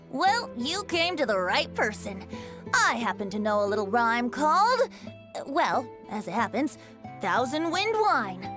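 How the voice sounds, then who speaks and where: A high-pitched, boyish young bard, whimsical and theatrical. The delivery is very lively and lilting, in anime game style with music behind. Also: female voice.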